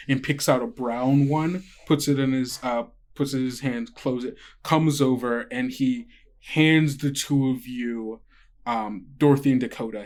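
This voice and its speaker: A man running a tabletop game as game master, narrating off the cuff in a halting, conversational way. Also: mid-range voice, darkish tone.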